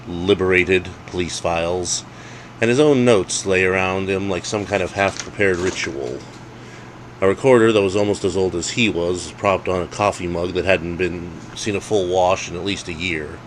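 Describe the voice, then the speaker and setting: Male narrator, low register, wry storyteller reading spooky comedy, slight noise behind the voice. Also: low-quality recording. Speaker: author reading own story.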